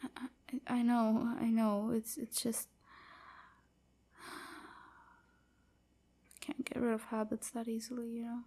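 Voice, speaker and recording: Breathy, timid vampire slave girl played by a woman. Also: a little high, monotone, measured pace, long pauses. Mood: anxious, evasive. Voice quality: soft, breathy, often whispery. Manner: hesitant, stammering, hushed and breathy. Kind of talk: intimate audio roleplay acting.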